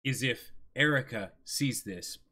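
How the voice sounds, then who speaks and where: Young man, bright tense voice, clipped remarks. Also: mid-range voice, unhurried. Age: 20s. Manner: terse interjections, repeats words. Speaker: co-host. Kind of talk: casual reaction chat.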